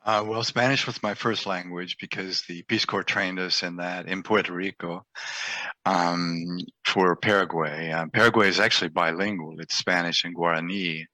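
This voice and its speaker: An older man, an author being interviewed, talking in an unhurried, reflective way with plenty of ums and uhs. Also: sits low, fairly even intonation, pushed and bright, no room sound, poor audio. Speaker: author guest. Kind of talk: casual interview talk.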